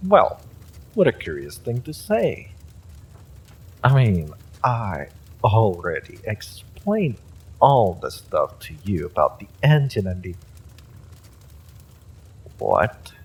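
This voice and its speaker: An actor playing an evil male sorcerer, smugly polite and sadistic, in a slow, theatrical audio drama full of menace. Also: lowish voice, wildly swooping pitch, darkish tone, breathy edge, exaggerated voice, rough recording. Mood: curious, probing. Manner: slow, deliberate, theatrical threatening delivery. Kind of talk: dramatic audio roleplay monologue.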